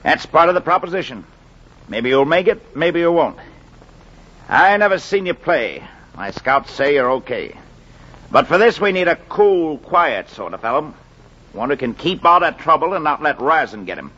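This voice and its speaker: An actor playing a gruff, shrewd baseball boss with a blunt, folksy manner in a 1940s radio drama. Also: male voice, on the high side, big pitch swings, normal speed, bright, forceful tone, heavily acted. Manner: blunt, folksy, sizing someone up. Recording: dull 1940s radio recording.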